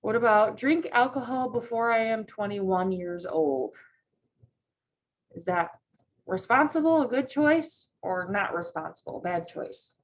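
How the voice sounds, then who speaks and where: A woman's voice: medium pitch, animated, unhurried, poor audio. A woman teaching a simple lesson, patiently reading choices aloud and checking in with her students. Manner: patient, checks in with frequent "right?" questions. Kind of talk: classroom lesson read-aloud.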